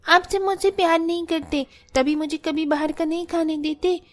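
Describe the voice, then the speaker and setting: A woman's voice: very high voice, steady tone, normal speed. A woman playing a stubborn, sulky little girl from a poor family in a cartoon story. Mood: sulky, accusing.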